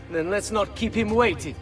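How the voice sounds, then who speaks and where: A man's voice: high voice, measured pace, recorded from a distance, music in the background. A man playing a vicious, sneering young mercenary leader in a video game cutscene. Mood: cocky.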